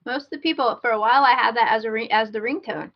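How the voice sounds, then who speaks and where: A woman's voice: a little high, fast talker, lo-fi sound. A woman co-hosting a casual podcast, chatting informally with a wry manner, recorded over an internet call. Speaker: podcast co-host.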